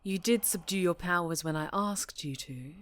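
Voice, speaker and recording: A woman's voice: fairly low pitch, lightly acted. A woman playing an obsessive, domineering superhero captor in a dramatic ASMR roleplay, speaking with volatile, condescending menace. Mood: stern, condescending. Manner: slow, menacing, condescending, breaking into whispers and shouts.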